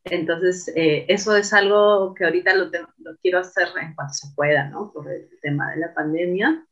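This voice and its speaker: A woman's voice: lowish voice, steady tone, normal speed, a bit bright. A woman guest on a radio interview, talking reflectively with many fillers and tag questions. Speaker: interview guest, cultural manager. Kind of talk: casual conversation on radio. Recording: dull lo-fi radio audio.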